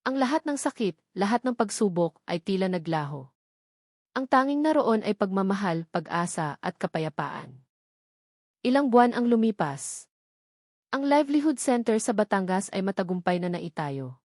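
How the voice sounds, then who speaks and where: A Filipino woman narrates a scripted love story. Her pitch sits in the middle range for a woman. The sound is dry, with no echo around the voice. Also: lively intonation, normal speed, darkish tone.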